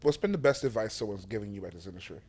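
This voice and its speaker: A man's voice: fairly low pitch, lively intonation, rapid-fire, a little mellow, breathy edge. A man hosting a podcast interview, asking questions with lots of fillers and agreeing often. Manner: affirming, filler-heavy questions. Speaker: podcast host. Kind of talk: casual interview chat.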